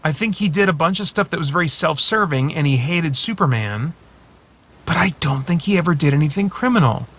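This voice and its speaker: A man in his thirties talking casually to a phone camera from inside his parked car, thinking aloud with many pauses and restarts. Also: fairly high pitch, animated, a bit bright, breathy edge. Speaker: movie reviewer and channel host. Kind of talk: casual spoiler talk to camera. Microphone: phone camera at arm's length.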